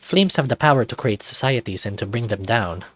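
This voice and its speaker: A man's voice: mid-range voice, lively intonation, brisk, fairly bright tone, no echo, pitched below the performer's own voice. A man voicing an anxious, self-doubting man in his thirties, narrating a visual novel introspectively in the first person. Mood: anxious, wistful. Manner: introspective, confessional, dramatic reading.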